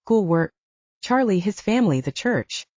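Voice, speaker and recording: A woman's voice: medium pitch, very animated, normal speed, a little mellow, no echo. A woman narrating an audiobook, reading scripted fiction. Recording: dull, lo-fi, narrow sound.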